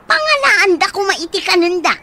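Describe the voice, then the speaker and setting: Bright, animated, grumbling woman. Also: very high-pitched, moderate pace, exaggerated voice. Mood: irritated, worried. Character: grumbling, worried woman guardian, hard on children. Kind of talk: Ilocano radio drama acting.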